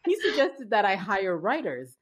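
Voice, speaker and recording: A woman from Canada in her forties, a business-owner guest telling her story in a relaxed interview, with lots of fillers and restarts. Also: medium pitch, normal speed, breathy edge. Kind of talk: casual interview conversation.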